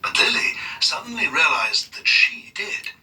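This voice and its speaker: A man's voice: on the high side, sing-song, measured pace, sharp tone, recorded from a distance, poor audio. A man narrating a scripted children's read-along story.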